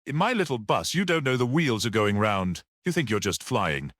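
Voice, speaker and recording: A man's voice: medium pitch, very animated, moderate pace, fairly bright tone, no echo, clean studio sound. A man voicing a brash, boastful young motorist in an audiobook, exclaiming and insisting eagerly. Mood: scoffing, boastful.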